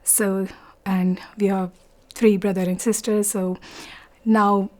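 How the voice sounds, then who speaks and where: A woman in her forties from India with an Indian accent, telling her story in an interview, softly and haltingly, often pausing to search for words. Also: medium pitch, little pitch movement. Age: 40s. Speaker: interviewee, doctor.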